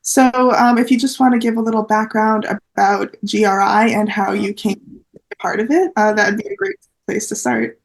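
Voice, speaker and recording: A woman's voice: mid-range voice, normal speed, low-quality recording. A young woman interviewing a guest in a chatty, upbeat way, with plenty of fillers. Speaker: interviewer, volunteer.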